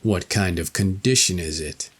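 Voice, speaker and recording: A man's voice: sits low, normal speed, husky, pitched below the performer's own voice. A man voicing a devout fairy tale king in formal, earnest storybook speech. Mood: questioning.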